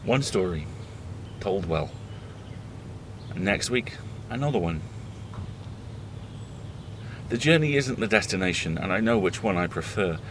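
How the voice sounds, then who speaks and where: A man acts as a weary horror host in an audio drama, confiding in the listeners. His voice is on the low side, and he tells it with halting, deliberate earnestness. There is clear ambient noise behind him. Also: lively intonation. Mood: somber, ominous. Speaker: horror podcast host playing himself.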